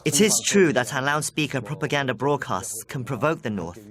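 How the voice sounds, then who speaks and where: A man's voice: mid-range voice, animated, fairly quick. A man giving his opinion in an interview answer. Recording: crowd noise behind the voice.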